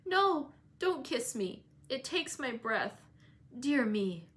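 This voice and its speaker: Woman reader voicing a petulant invalid young man, raised pitch, slow plaintive whine. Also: very animated, fairly bright tone, full character voice. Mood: fretful. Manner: whining, fretful, slow and plaintive.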